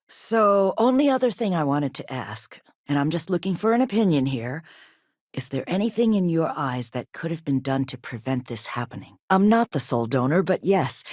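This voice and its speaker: A woman acting as a poised, quietly assertive company chief executive in a video game, polished, measured and courteous but firm. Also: deep voice, no echo, clean studio sound.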